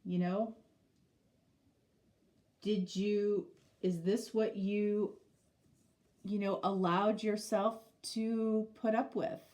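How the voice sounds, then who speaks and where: A woman's voice: medium pitch, little pitch movement, very slow, long pauses, mellow. A middle-aged woman with a stuffy, nasal voice from a cold, giving a casual tarot reading and rambling through many fillers. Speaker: tarot reader.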